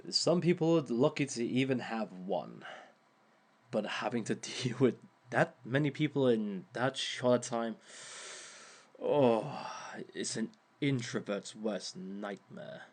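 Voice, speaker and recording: A man's voice: mid-range voice, expressive. A man voicing a suave villain teaching a seminar in a dry, sardonic, amused way. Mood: wry. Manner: slow, dry and sardonic, with small amused laughs. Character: suave, wry male villain lecturing a seminar. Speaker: villain instructor. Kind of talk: audio roleplay monologue.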